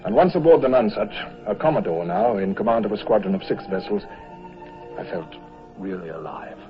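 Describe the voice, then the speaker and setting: An actor playing a brisk, brooding British naval commodore in an old-time radio serial, speaking in a clipped, commanding way. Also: male voice, on the low side, animated, lightly acted. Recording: muffled old radio recording, faint music.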